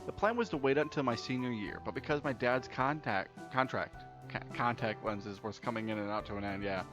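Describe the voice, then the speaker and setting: A man's voice: mid-range voice, brisk. A young man doing casual let's play commentary and reading game text aloud, informal and stumbling over repeated words. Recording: dull lo-fi mic with music behind. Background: game music.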